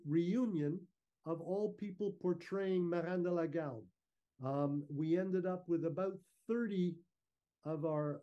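A man giving an informal, measured, explanatory slide talk over a video call. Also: fairly high pitch, mellow, dull audio. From Canada. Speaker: guest speaker, historical reenactor. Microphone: video call microphone.

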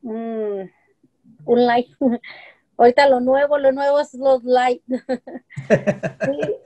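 A woman's voice: high voice, fairly even intonation, takes it slowly, mellow. A woman in her forties, talking as a guest on a video call from home. She explains with lots of examples and fillers. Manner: chatty, explains with examples, many fillers like "este" and "eh". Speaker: guest, home-business seller. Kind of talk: casual interview over video call. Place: home room with shelves of colorful containers. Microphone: video call webcam.

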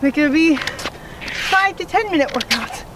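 A woman's voice: high voice, animated, a little slow. A young woman talking casually and encouragingly while walking on crutches through an outdoor park, a little out of breath. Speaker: fitness vlogger. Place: outdoor park sidewalk, windy. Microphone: camera filming from a distance. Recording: distant camera mic, loud wind noise.

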